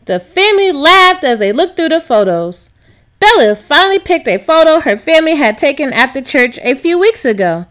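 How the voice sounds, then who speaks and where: A woman's voice: high voice, sing-song, moderate pace, fairly bright tone. A woman narrating a scripted children's story as a storybook reader.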